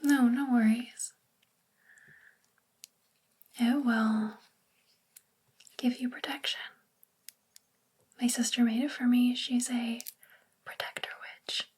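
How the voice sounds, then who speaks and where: A woman playing a sweet, bookish witch librarian in a soft spoken ASMR roleplay, gentle and soothing in a breathy, whispery voice. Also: a little high, level tone, takes it slowly, pauses often. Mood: kind, reassuring.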